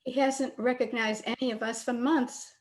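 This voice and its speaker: An actress plays an anxious, protective daughter in an old-time radio drama. Her fairly high voice sounds guarded and puzzled. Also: steady tone, moderate pace, natural voice, poor audio.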